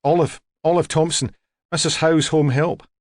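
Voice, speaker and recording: A man's voice: on the high side, fairly even intonation, moderate pace, no room sound, clean studio sound, pitched above the performer's own voice, lightly acted. A man voicing a plain-spoken, chatty woman working as a home help, in an audiobook story. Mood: brisk, forthright. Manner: chatty, forthright, unbothered.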